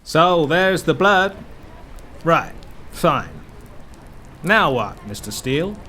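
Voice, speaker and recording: Guy doing a grumpy bossy us president in an audio drama, highish voice, some background noise. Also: big pitch swings, takes it slowly. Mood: grudging. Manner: dismissive, commanding. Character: brusque, impatient male President of the United States. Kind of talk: fan-made audio drama acting.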